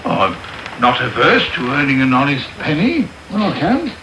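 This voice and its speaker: A man's voice: medium pitch, very animated, normal speed, close to an everyday voice. Man playing pompous, swooping parish beadle. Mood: obsequious. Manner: self-important, hedging, ingratiating. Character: pompous, self-serving, obsequious male parish beadle. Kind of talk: radio drama acting. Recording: noisy off-air medium wave radio tape.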